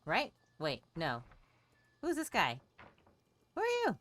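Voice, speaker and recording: A woman's voice: sits low, huge pitch swings, slow pace, a bit bright. A woman giving casual game commentary, thinking aloud as she plays. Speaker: game streamer.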